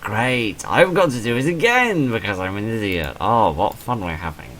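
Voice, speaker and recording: A low-pitched man narrates a text-based game, switching between reading aloud and offhand commentary. His asides are wry and self-deprecating. The recording carries noticeable background noise. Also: animated, moderate pace, fairly bright tone. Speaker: gaming video narrator reading a visual novel aloud.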